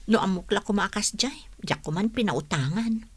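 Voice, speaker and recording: A woman's voice: medium pitch, expressive, normal speed, breathy edge, no room sound. A woman playing a troubled but helpful young student and grateful friend in a radio drama.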